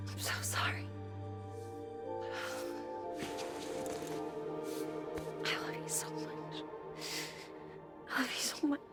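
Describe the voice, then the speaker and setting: A woman's voice: a little high, creaky. A woman acting a tender, earnest heroine in a cinematic video game scene, with emotional weight. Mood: grieving, tender. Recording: music behind the voice.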